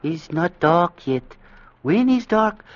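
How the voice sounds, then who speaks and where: A man playing a fatalistic, devout ship's cook who speaks halting broken English deferentially in an old radio drama. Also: high voice, big pitch swings, full character voice. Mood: uneasy, matter-of-fact. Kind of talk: 1940s-50s radio drama acting. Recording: muffled vintage radio recording.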